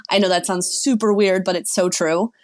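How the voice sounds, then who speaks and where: A woman speaking as a relationship coach in a relaxed podcast conversation. She explains her ideas with easy confidence and drops in quick asides. Her voice sits at an ordinary middle pitch for a woman. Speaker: sex and relationship coach guest. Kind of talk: casual podcast chat.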